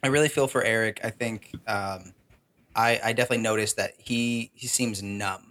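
A man co-hosting a podcast, chatting casually in an earnest, halting way with repeated phrases. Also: on the low side, fairly even intonation, a bit bright. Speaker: podcast co-host. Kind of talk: casual chat.

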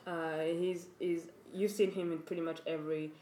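A woman's voice: fairly low pitch, level tone, mellow. A young woman chatting emphatically as a podcast co-host, talking freely about her views. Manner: chatty, emphatic, quick to laugh. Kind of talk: casual chat. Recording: echoey room with faint noise.

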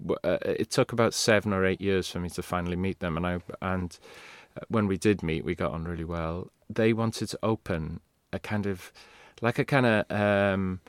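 Low-voiced man, rambling. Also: fairly bright tone, no echo, clean studio sound. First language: Welsh. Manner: rambling, restarts, candid. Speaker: guest. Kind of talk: casual interview talk.

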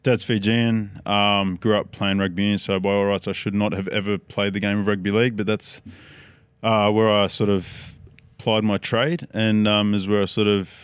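Deep-voiced, smooth Australian man. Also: normal speed, no echo. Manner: relaxed, rambling storyteller. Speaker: podcast guest. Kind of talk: casual chat.

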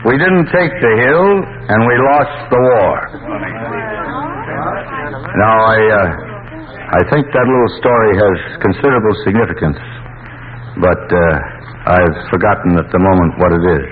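A man's voice: fairly low pitch, lively intonation, moderate pace, pauses often, natural voice. An actor playing a wry middle-aged father and former sergeant who jokes drily and rambles, in a 1940s radio play. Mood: tipsy rambling. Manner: dry, joking, rambling delivery. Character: wry middle-aged bank man, tipsy returning sergeant. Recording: scratchy old radio broadcast, tinny with hiss.

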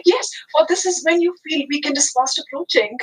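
A woman whose first language is Hindi, a teacher answering interview questions in a measured, earnest way. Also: high-pitched, poor audio. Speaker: teacher, podcast guest.

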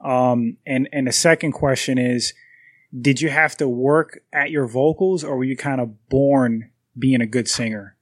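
A man's voice: mid-range voice, unhurried, no room sound, clean studio sound. A man in his mid-thirties co-hosting a podcast, asking questions in casual conversation. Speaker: podcast co-host. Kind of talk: casual interview chat.

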